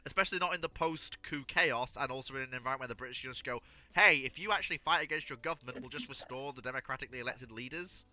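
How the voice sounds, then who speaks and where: A man's voice: mid-range voice, fast, hardly any pauses, pushed and bright, dry recording. A man hosting a casual panel discussion and answering audience questions.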